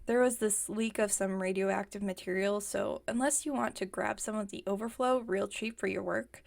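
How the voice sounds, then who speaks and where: A woman's voice: mid-range voice, steady tone, normal speed, vocal fry, close to an everyday voice. A woman playing a playfully defensive, stubborn villain inventor in an ASMR roleplay, chatting and teasing with mock innocence. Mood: mock innocent. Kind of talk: ASMR roleplay, one-sided conversational acting.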